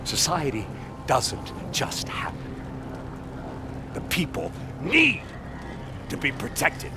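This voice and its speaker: An actor playing a defiant, self-righteous security official in a video game, lecturing emphatically and with contempt. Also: male voice, fairly high pitch, huge pitch swings, slow, heavily acted. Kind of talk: dramatic video game acting. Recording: clear music behind the voice.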